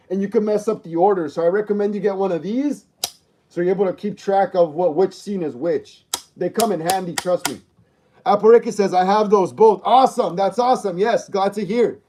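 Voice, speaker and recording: A man in his thirties hosting a casual livestream, reading out viewer comments and chatting in a relaxed, repetitive way with slang. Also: high voice, a little hiss or hum. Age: 30s. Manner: chatty and repetitive, uses slang like bro and dog. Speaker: livestream host.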